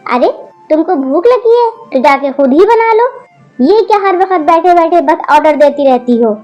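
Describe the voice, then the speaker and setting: A woman's voice: sits high, lively intonation, normal speed, warm tone, muffled recording, faint music behind. A woman doing a stern scolding grandma. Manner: scolding, lecturing, firm.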